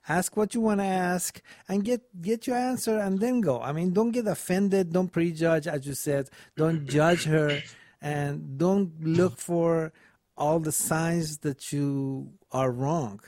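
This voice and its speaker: A man with a fairly high voice talks in a casual group chat. His delivery is instructive and he restates his points, with very little room sound around him. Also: a little slow, a little mellow, no echo, studio quality.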